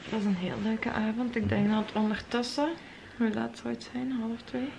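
A young woman with a Flemish accent, vlogging softly from bed late at night, often whispering. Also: a little high, steady tone, moderate pace, creaky, background noise. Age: young adult. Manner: soft-spoken, often whispering. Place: hotel bedroom, lying in bed. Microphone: handheld camera at arm's length.

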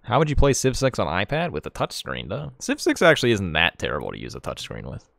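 A man chatting casually with friends as he streams a game, animated and jokey, often breaking into laughter. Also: on the low side, fast, gravelly, dry recording, studio quality. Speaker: gaming streamer reading out donations. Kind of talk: casual chat while playing a strategy game with friends.

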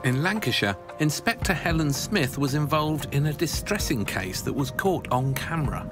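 A man's voice: fairly high pitch, animated, moderate pace, music in the background. A man narrating a documentary in a scripted voice-over, with dry wry asides.

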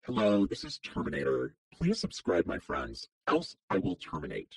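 A menacing machine villain through a voice-changer filter. The voice is deep and husky, crackling with heavy fry, and sits far off in a long reverb. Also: female voice, wildly swooping pitch, moderate pace, heavily acted. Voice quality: gravelly, husky. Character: menacing deep robotic hacker and killer machine. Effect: pitched-down horror and robot voice changer. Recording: distant processed audio, long reverb.

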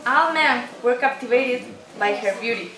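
A woman's voice: fairly high pitch, moderate pace. A young woman reading a folktale narration aloud from a script for a puppet show. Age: young adult. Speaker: student narrator. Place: indoor room at a day care centre. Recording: echoey room, clatter of crowd and dishes.

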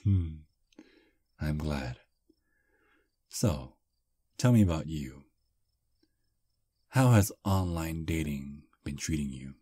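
A man voicing a charming, polite male vampire on a first date, speaking softly and flatteringly in an ASMR roleplay. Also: rumbling low, takes it slowly, long pauses, husky, no room sound, exaggerated voice. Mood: smooth flirting. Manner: soft, flattering, gentlemanly. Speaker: voice actor.

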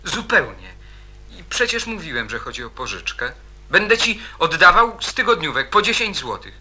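A man's voice: fairly high pitch, very animated, normal speed, sharp tone, husky, exaggerated voice. An actor playing an earnest, troubled schoolboy in a radio drama, insistent and hesitant as he repeats himself. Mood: pleading, evasive. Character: Janusz, stubborn, earnest, troubled schoolboy in his teens.

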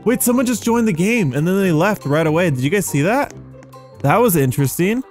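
A man's voice: high voice, animated, a little fast, a little mellow, faint music behind. A young adult man giving casual, rambling gameplay commentary, easily amazed and thinking aloud as he hosts. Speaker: gaming video host.

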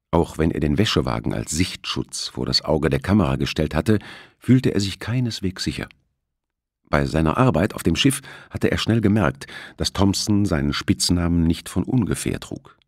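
A man's voice: very deep voice, vocal fry, slightly rough, dry recording, studio quality. A man reading a novel aloud as a scripted audiobook narration.

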